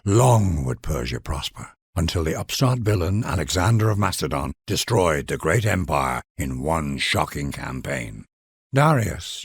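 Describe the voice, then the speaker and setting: Male game narrator: low, husky voice, sweeping intonation, reverent and formal oratory, dry studio sound. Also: no room sound. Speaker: narrator of strategy game leader introductions. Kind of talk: grand scripted narration.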